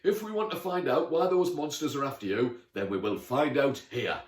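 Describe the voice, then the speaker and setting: A man's voice: medium pitch, normal speed, roomy sound, far from the mic, voice pushed up, close to an everyday voice. A man voicing a gallant, hearty knight in armour for a children's storybook. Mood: solemn, declaring.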